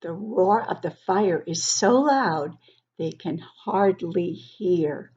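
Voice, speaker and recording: A woman reading a picture book aloud to young children, expressively, pausing to explain things and ask the listeners questions. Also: on the low side, mellow, slightly rough, dull audio. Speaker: children's book club host and reader.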